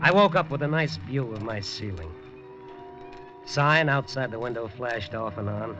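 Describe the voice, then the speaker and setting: A man's voice: medium pitch, lively intonation, normal speed, natural voice. An actor playing a hard-boiled, wisecracking newspaper reporter who narrates his own story in a 1950s radio drama. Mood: wry. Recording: dull old radio broadcast with music.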